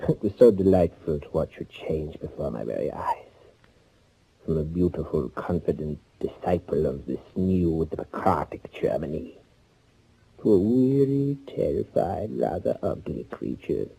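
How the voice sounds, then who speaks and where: An actor playing a smug, sadistic Nazi fugitive who can turn to pleading, in theatrical 1940s radio thriller style with mockingly courteous menace. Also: male voice, very deep voice, sing-song, unhurried, vocal fry, mellow, husky, faint music behind, exaggerated voice. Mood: gloating, menacing. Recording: muffled 1940s radio recording.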